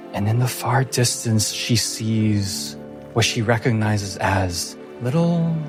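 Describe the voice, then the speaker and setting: A man narrating a fantasy tabletop game as game master in a dramatic storytelling style. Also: on the low side.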